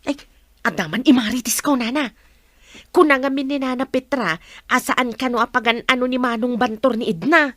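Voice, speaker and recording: A woman's voice: a little high, moderate pace, fairly bright tone, dry recording, lightly acted. A woman playing a chatty, gossipy village neighbour in an Ilocano radio comedy drama. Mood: gossiping.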